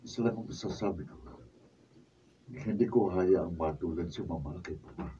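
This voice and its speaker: A man's voice: sits low, moderate pace, dark tone, close to an everyday voice. A man playing a protective, stubborn eldest son in a radio drama, speaking firmly and insistently. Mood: worried, insistent. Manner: firm, insistent. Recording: dull, noisy, distant room recording.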